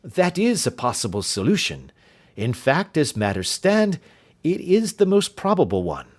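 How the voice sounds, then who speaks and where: A man's voice: fairly high pitch, big pitch swings, a little slow, pitched above the performer's own voice. A man doing a keen, emphatic male detective. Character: sharp, confident male detective, keen and assured. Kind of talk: audiobook reading.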